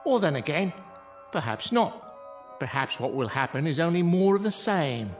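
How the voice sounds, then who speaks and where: An actor playing a sardonic, world-weary clown in a radio drama, with florid, grandly mocking delivery. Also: male voice, a little high, very animated, moderate pace, faint music behind. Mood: wry, resigned.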